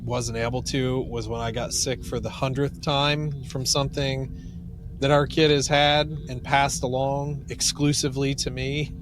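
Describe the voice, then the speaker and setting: A man co-hosting a podcast in casual chat, grumbling wryly in a halting way. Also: medium pitch, little pitch movement. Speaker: podcast co-host. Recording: clearly noisy ambient background.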